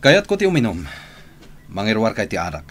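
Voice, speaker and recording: A low male voice, a brooding boss with troubles on his mind. He gives curt, sullen orders in the manner of an Ilocano radio drama. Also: wildly swooping pitch, fairly quick.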